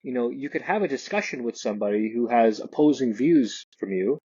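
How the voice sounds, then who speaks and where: A man's voice: medium pitch, animated, fairly quick, mellow, husky, no echo, dull audio. A man speaking as a guest in an interview, hesitant and often restarting his sentences. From Canada. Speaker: guest, realtor and investor. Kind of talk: podcast interview conversation.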